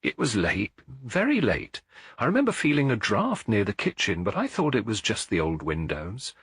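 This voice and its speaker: A shaken, worried mother recovering from injury, played by a male narrator in a mystery audiobook. The delivery is halting and pained, with pitch that moves a lot and a husky edge. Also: huge pitch swings, fairly bright tone, no echo, clean studio sound, full character voice. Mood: hesitant, searching.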